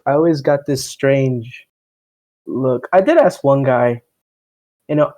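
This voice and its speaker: Young dude w/ miami accent, rambly and sweary, just hanging out on a podcast. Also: male voice, medium pitch, takes it slowly, darkish tone. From Miami, United States. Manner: rambling, profane, self-deprecating. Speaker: podcast co-host. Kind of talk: casual chat.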